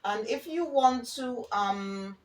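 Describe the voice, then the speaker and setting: A woman giving a spiritual card reading in a halting manner, often checking in with the listener as she reads and improvises. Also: on the high side. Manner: hesitant, halting, often checking in with okay and all right. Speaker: oracle card reader and spiritual guide. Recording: roomy echo, distant from the mic.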